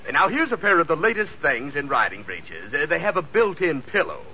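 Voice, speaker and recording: A man's voice: fairly high pitch, very animated, fairly quick, bright, forceful tone, full character voice. An actor playing a fussy, sardonic clothing salesman in a 1940s radio comedy. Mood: dry, sardonic. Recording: dull, hissy old radio recording.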